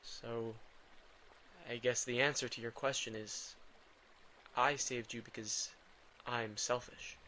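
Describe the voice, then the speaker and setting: A man's voice: mid-range voice, fairly even intonation, slow pace, pauses often, bright, forceful tone, a little hiss or hum, poor audio. A man playing a guilt-ridden, protective male vampire in an intimate audio drama, with dry sarcasm and a weary, casual delivery. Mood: guilty, somber.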